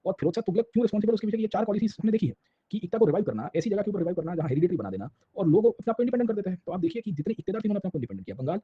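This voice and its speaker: Male Indian-accented history teacher, fairly high-pitched, informal exam-prep lecturing with repeated check-ins, slightly muffled lo-fi recording. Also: expressive, very fast, breathy edge, no room sound. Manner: repetitive check-in tags, addressing listeners as sir; colloquial, illustrating points with little acted anecdotes.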